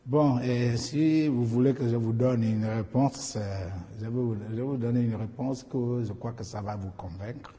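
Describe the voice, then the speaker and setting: A middle-aged man from Equatorial Guinea, whose first language is Fang, answering interview questions in a halting way with many pauses and restarts. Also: mid-range voice, warm tone, in a large hall. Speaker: candidate being interviewed.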